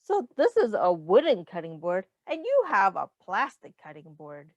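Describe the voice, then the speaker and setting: A woman teacher reading a picture book aloud to young children, explaining in simple words, asking questions and pausing for answers. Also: mid-range voice, huge pitch swings, no echo, highs cut off. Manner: addresses children directly, pausing for their answers.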